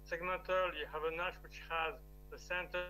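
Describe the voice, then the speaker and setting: A man with a strong French accent, giving an online technical lecture with a steady, explaining manner and the odd restart. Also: high voice, normal speed, soft background music, poor audio. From France. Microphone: video call.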